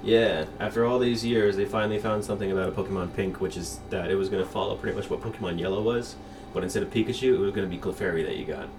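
Young man hosting a podcast, very low voice, sits back from the mic, noisy room. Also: very fast. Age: 20s. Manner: talkative, explains at length, laughs often. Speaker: podcast host. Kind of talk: casual podcast chat. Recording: distant mic with clear background noise.

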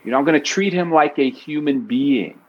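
Animated fifty-something man telling stories. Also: a little fast, breathy edge, highs cut off. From New York City. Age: 50s. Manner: animated storyteller, bursts of pitch when excited. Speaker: guest author. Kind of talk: casual interview conversation.

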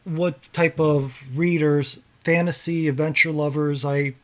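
A man's voice: a little high, fairly even intonation, a little slow, fairly bright tone. A man hosting a podcast, interviewing a guest author in a casual, conversational way.

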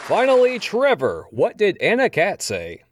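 An actor playing a slick, wry television game show host, delivering polished hosting patter. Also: male voice, sits high, huge pitch swings, a little slow, no echo, full character voice. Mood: slick, teasing. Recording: noisy studio crowd behind.

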